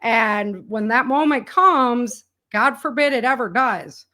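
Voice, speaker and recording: A woman's voice: fairly high pitch, animated, slow, bright, forceful tone. A woman speaking as a guest in a casual interview, with an earnest and enthusiastic way of talking. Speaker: interview guest, author and audio drama producer.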